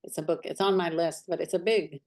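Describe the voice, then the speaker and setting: A woman leading an art study group discussion, speaking in a measured way. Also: fairly low pitch, steady tone, darkish tone.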